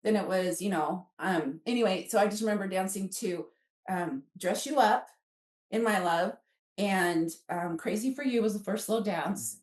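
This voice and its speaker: A woman's voice: medium pitch, normal speed, recorded from a distance. A woman in her fifties with an American accent tells stories casually, acting out remembered dialogue as she goes. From Alaska, United States. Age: 50s. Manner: animated, acts out remembered dialogue. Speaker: guest author. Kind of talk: casual conversational storytelling. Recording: roomy echo, away from the mic.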